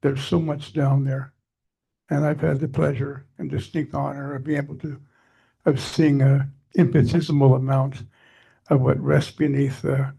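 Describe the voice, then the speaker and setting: An older man from Canada gives a relaxed, joking talk to a society meeting, wandering off into asides. His voice is scratchy and gravelly, with a husky edge. He stays fairly even in pitch and sounds a little higher than most men. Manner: chatty, jokey and digressive. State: scratchy from allergies. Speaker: guest speaker.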